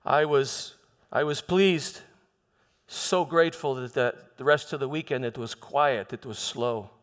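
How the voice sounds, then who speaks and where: Man acting a troubled, halting Roman governor, reverberant hall. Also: mid-range voice, natural voice. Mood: uneasy recounting. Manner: halting, stammering, self-justifying, emphatic. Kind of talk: theatrical church monologue, first-person dramatization. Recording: long reverberant echo of a hall.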